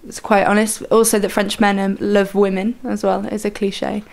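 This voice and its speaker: A woman's voice: medium pitch, level tone, normal speed, gravelly. A woman answering interview questions thoughtfully, with frequent ums. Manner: reflective, many ums. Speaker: student or lecturer interviewee.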